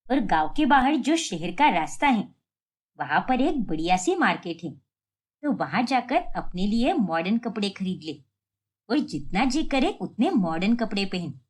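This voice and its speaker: A woman voicing a sharp-tongued, bossy old village matriarch in a cartoon family drama, taunting as she talks. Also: mid-range voice.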